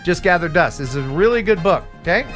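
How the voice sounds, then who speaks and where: A man hosting a live stream in a casual, chatty way, reading out donations with bursts of excitement. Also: high voice, fast talker, faint music behind. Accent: neutral radio American. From Philadelphia area. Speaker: livestream host.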